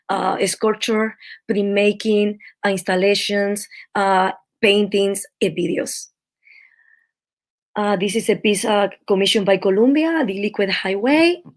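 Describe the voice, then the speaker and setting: A woman artist, talking through slides of her work on a video call, with many pauses and fillers. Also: medium pitch. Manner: halting, with many fillers and restarts. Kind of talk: slide talk at an online panel.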